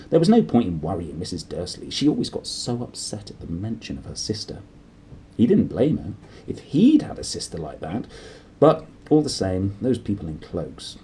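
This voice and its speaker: Animated, low-voiced man narrating a story. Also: a little hiss or hum. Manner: theatrical, expressive. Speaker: audiobook reader. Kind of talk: dramatic scripted storybook narration.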